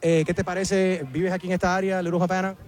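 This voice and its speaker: A man works a live street broadcast outdoors. His voice sits high and his talk races along. He teases guests with playful banter. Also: level tone, darkish tone. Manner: fast, playful, teasing. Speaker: radio host.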